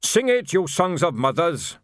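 An ageing sergeant, acted out by a man, folksy and ungrammatical, who swings widely in pitch. He is deferential to officers and cheerful about soldiering, in a close, dry storybook recording. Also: animated, moderate pace, a bit bright, no echo, close to an everyday voice. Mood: loud, drilling. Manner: folksy, ungrammatical, barks at recruits. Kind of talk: audiobook character voice.

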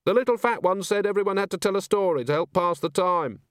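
A man's voice: sits high, fast, no room sound, studio quality, voice pushed up, natural voice. A man voicing a shaken, indignant coach driver with a prim, aggrieved manner.

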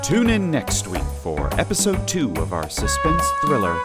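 Female serial announcer: dramatic, lively intonation, loud music underneath. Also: rumbling low, normal speed. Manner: dramatic, emphatic. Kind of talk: old-time radio serial narration.

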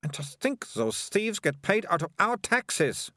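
A man reading aloud and voicing a bossy, excitable young girl who talks in a brisk, self-assured way. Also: sits high, no room sound, studio quality, voice pushed up, heavily acted.

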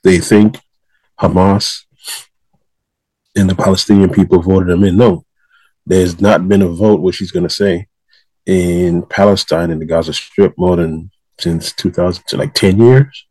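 A man with a deep, rough-edged voice takes part in a casual political discussion. He speaks slowly and haltingly, searching for words as he makes his point as a panelist. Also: steady tone, darkish tone, no room sound, rough recording.

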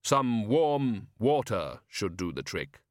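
Otherworldly male apparition for audiobook, unhurried, deliberate, solemn yet polite; male reader. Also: fairly low pitch, big pitch swings, no room sound, studio quality. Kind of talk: audiobook character voice.

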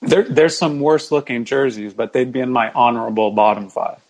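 A man's voice: medium pitch, moderate pace, a little mellow, poor audio. A man chatting casually as a guest on a sports podcast, understated, agreeable and a little hesitant.

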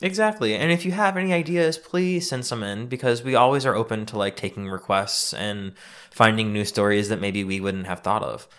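A man co-hosting a podcast in casual chat, with a soft, calming voice and an easygoing, agreeable way of talking. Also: on the low side, normal speed. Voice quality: calm, soft. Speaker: podcast co-host.

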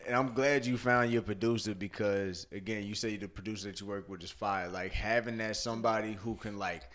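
A man's voice: on the low side, steady tone, a little fast, a bit bright. A man co-hosting a podcast, chatting casually in slang and repeating phrases for emphasis. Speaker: podcast co-host.

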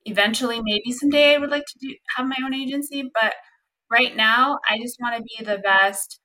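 Young woman, podcast host, bright slightly squeaky voice, chatty and earnest solo talk. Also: a little fast, low-quality recording. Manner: chatty, earnest, lots of fillers like "um" and "like".

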